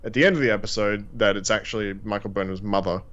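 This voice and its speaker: A man's voice: on the low side, fast, fairly bright tone, dull audio. A man in his twenties with an Australian accent, co-hosting a casual podcast chat and rambling with lots of fillers and restarts. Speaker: podcast co-host.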